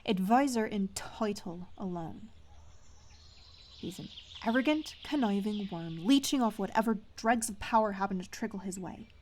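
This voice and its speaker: A woman's voice: mid-range voice, moderate pace, darkish tone, slight background noise, lightly acted. An actress playing a defiant, dryly mocking townswoman in a fantasy audio drama, with a cutting delivery. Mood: scornful, determined. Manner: dry, cutting. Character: sharp-tongued, defiant female local, loyal and dryly mocking. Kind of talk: fantasy audio roleplay acting.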